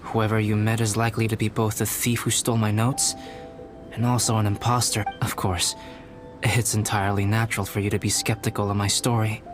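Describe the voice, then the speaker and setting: A man's voice: lowish voice, level tone, music in the background, lightly acted. Man playing a composed, cerebral young alchemist. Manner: measured, formal, analytical. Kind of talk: anime game voice acting.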